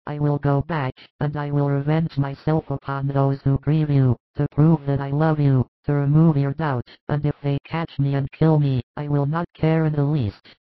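A man's voice: fairly high pitch, little pitch movement, moderate pace, hardly any pauses, clean tone, dry recording, close to an everyday voice. Man doing a pleading, devoted apostle. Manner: earnest, pleading, subdued. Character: worried, devoted male apostle, impetuous. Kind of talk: audiobook dialogue reading. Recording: dull lo-fi dry recording.

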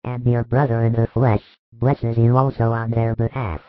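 A man voicing a calm, resolute male teacher who speaks in a measured, firm way. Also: medium pitch, no room sound, phone-quality sound, natural voice. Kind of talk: audiobook reading.

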